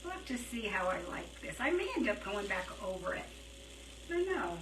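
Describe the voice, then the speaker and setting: A woman chatting casually on a live craft stream, thinking out loud as she works, her throat dry and her voice feeling worn. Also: mid-range voice, big pitch swings, brisk. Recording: roomy, slightly distant, faint hiss.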